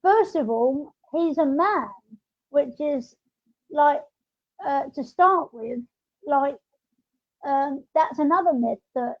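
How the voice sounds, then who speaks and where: A woman's voice: high-pitched, expressive, takes it slowly, long pauses. A woman talking in an informal, chatty way as she hosts a casual podcast. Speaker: podcast host. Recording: dull lo-fi audio.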